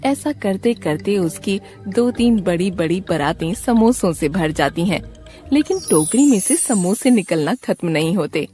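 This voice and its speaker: A woman's voice: on the low side, lively intonation, a little slow, dark tone, faint music behind. A woman reading scripted storybook narration for a children's cartoon.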